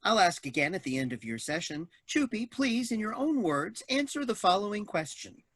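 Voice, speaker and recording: A woman's voice: mid-range voice, big pitch swings, moderate pace, a bit bright, dry recording. A woman voicing an officious, literal-minded robot assistant in a stilted, formal manner for a staged comedy reading.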